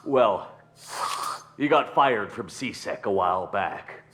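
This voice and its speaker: Shifty, swooping little crook. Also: male voice, on the low side, animated, slow pace, a bit bright, a little hiss or hum. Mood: confiding. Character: shifty, cowardly male criminal underling, blustering, easily cowed. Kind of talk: video game acting.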